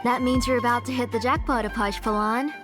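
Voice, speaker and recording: A woman playing a gentle, caring mother and craftswoman in a video game. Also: on the high side, steady tone, a little fast, loud music behind the voice, close to an everyday voice. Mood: kindly.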